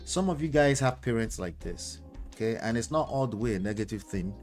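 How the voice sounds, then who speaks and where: A Nigerian-accented man talks through viewer comments in a loose, emphatic commentary. He is a relationship coach who keeps checking in with his listeners through tag questions. His voice sits at a middle pitch, with faint music under it. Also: animated, a little fast, darkish tone. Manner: emphatic, with frequent tag questions like "okay?" and "you know".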